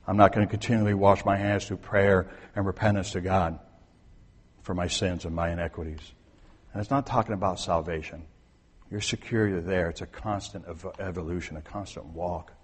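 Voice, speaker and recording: Husky-voiced male pastor preaching in a reverberant hall. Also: deep voice, fairly even intonation, normal speed. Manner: conversational preaching, swings from soft to emphatic. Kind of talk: sermon. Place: church sanctuary.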